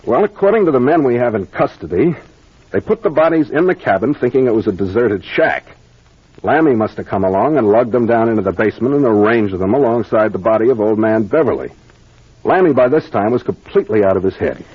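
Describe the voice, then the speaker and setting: Dull vintage radio recording, confident police captain, brisk explanatory manner, very animated pitch, mystery drama. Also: male voice, slightly rough, no echo. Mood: assured. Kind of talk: old-time radio mystery acting.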